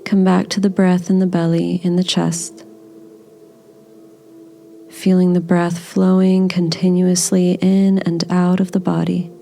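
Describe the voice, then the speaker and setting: A woman leading a guided body scan meditation in a gentle, soothing, unhurried manner. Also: fairly low pitch, monotone, creaky, slight background noise.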